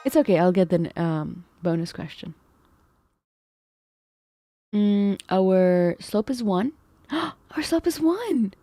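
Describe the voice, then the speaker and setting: A woman's voice: medium pitch, expressive, takes it slowly, pauses often, mellow, no echo, studio quality. A woman live-streaming, thinking aloud over math problems, second-guessing herself and chatting with viewers. She feels her voice is going. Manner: talks to chat, muses and second-guesses herself.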